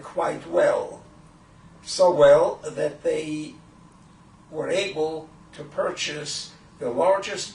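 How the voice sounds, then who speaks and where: Slow-spoken elderly man, roomy recording. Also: on the high side. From Poland. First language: Yiddish. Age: 80s. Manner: halting, deliberate, frequent throat clearing. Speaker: Holocaust survivor giving testimony. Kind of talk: oral history recollection. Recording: roomy, distant microphone with faint noise.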